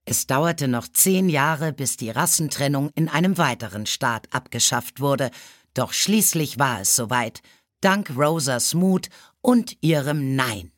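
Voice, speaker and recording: A man's voice: medium pitch, animated, a little slow, fairly bright tone, dry recording, studio quality. A man reading a scripted historical story as an audiobook narrator.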